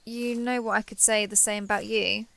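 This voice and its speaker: A woman's voice: medium pitch, level tone, moderate pace, creaky, fairly bright tone. A woman playing a sheltered young female alien, naive and obsessively devoted, talking earnestly and intimately to the listener in an audio roleplay. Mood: naive, defensive. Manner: earnest, wheedling, over-explaining.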